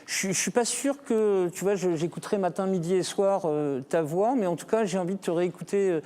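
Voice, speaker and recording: A man's voice: high voice, a little fast, dark tone. A man on a singing jury who hunts for words and gives playful feedback. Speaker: jury member.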